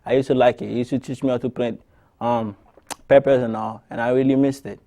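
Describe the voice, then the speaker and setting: A man from Haiti tells his personal story in a soft, mellow voice of ordinary pitch. He stumbles often and restarts his phrases while he searches for the right words. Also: level tone. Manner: halting, with frequent restarts and fillers. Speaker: interviewee. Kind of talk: personal testimony.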